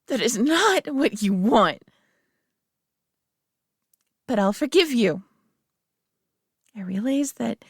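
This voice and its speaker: A superior alien woman, played by a female performer in a roleplay audio drama, proud and possessive yet tender. Her voice sits fairly high with a creaky edge, recorded very dry. She speaks with deliberate, condescending care. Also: no echo, exaggerated voice. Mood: indignant, menacing.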